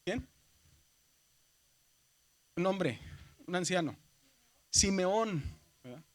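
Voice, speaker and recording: A man from Mexico with a Mexican Spanish accent, teaching a seminary class, who explains step by step, keeps checking with "¿verdad?" and calls his students brothers. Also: high voice. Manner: addresses students as "hermanos". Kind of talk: classroom lecture.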